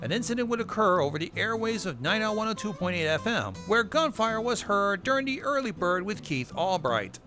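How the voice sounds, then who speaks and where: A man's voice: sits high, very animated, fairly quick, few pauses. A man hosting a narrated recap, with wry asides and casual swearing. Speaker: video host. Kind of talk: narrated recap of horror web series. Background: music bed.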